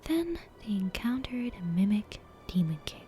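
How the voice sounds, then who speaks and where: A woman whispers a bedtime story in a soft, breathy ASMR style. Her delivery is mellow and unhurried, hushed throughout. Faint ambient noise sits behind her. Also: mid-range voice, big pitch swings. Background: soft game soundtrack ambience.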